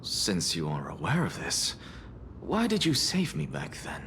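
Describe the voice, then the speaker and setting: An actor playing a proud, sardonic scholar in a video game, dry, theatrical and weary of being teased. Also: male voice, low voice, huge pitch swings, unhurried, a bit bright, slight background noise, heavily acted. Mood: exasperated. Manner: dry, haughty, exasperated, theatrical.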